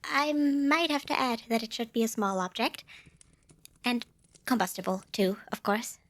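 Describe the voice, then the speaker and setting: Woman acting as small cute demon girl, high voice, swooping intonation, nervous, bubbly, salesy, polite, ASMR roleplay. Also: animated, unhurried. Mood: eager salesmanship. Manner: hesitant stammering, bubbly, over-polite. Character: cute little female demon, eager, nervous, cheekily salesy.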